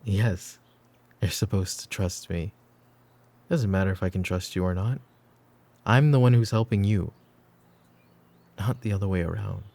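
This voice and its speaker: A man playing a weary middle-aged mafia boss in a roleplay audio drama, stern but protective, speaking in a measured, commanding way. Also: a little mellow. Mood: firm, businesslike. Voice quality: low, gravelly.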